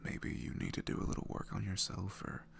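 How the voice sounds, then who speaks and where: A man speaking softly in ASMR style to his listeners, gentle, hushed and reassuring. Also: brisk, a little hiss or hum. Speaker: audio roleplay creator. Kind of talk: soft ASMR message to listeners.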